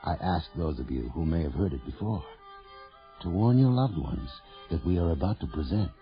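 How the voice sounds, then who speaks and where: An actor playing a sinister, grave host introducing horror tales in a theatrical radio style, with a gravelly voice. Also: male voice, deep voice, expressive, moderate pace. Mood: ominous, grave. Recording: dull lo-fi old radio broadcast. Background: loud eerie music.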